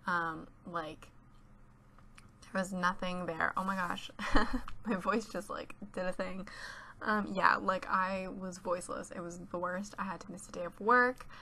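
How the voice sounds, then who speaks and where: A woman's voice: lowish voice, fairly even intonation, measured pace, sharp tone, slight background noise. Chatty teenage girl with a stuffy cold. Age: teens. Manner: chatty, rambling, giggly. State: congested from a cold. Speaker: YouTuber. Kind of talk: casual voice-over chat. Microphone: home voice-over mic.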